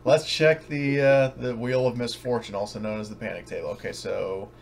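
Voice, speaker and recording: A man narrating a tabletop game as game master in a casual, halting, self-correcting way. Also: mid-range voice, fairly even intonation. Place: at home, online voice session. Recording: clearly noisy home recording.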